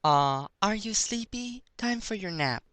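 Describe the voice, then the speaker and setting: A man's voice: high-pitched, animated, measured pace, a bit bright, no echo, voice pushed up. A man voicing a sweet, doting mother pony who coos to her baby in a story reading. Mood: tender. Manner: cooing, sing-song motherly talk.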